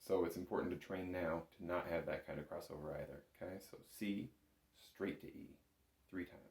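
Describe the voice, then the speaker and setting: Guy with a low gravelly voice patiently walking thru an instrument lesson, kinda echoey room. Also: fairly even intonation, fairly quick, noisy background. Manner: patient, careful explaining. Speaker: music instructor. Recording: roomy, echoing room sound.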